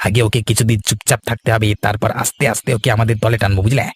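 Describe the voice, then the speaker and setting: Man acting a fast-talking, sly village schemer. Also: fairly low pitch, level tone, close to an everyday voice. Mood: sly, eager. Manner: eager, conspiratorial. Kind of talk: Bengali cartoon voice acting.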